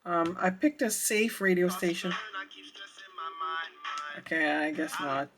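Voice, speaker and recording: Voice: medium pitch, slow, music in the background. A reviewer giving a casual product demonstration, thinking aloud in a hesitant way. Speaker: radio reviewer.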